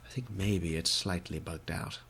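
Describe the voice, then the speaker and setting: Young guy, bunged up with a cold, deep gravelly voice, posh-ish jokey game commentary on a headset. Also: steady tone, a little slow, slight background noise. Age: young adult. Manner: mock-posh, wry, gentlemanly put-on accent. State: congested from a cold. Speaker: gaming video creator. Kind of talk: let's play commentary.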